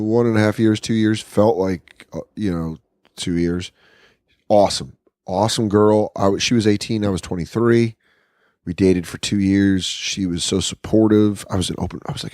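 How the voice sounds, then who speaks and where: Low-voiced man telling candid stories. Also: steady tone, moderate pace, a little mellow, no room sound, studio quality. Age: 30s. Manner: candid, profane storytelling with acted-out quotes. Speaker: comedian guest. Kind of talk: casual podcast chat. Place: apartment.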